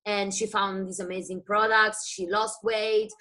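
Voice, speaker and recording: A woman's voice: mid-range voice, level tone, unhurried. Italian-accented woman on a video call. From Italy. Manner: warm, enthusiastic, a little broken English. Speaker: guest speaker on a group video call. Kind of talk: personal success story.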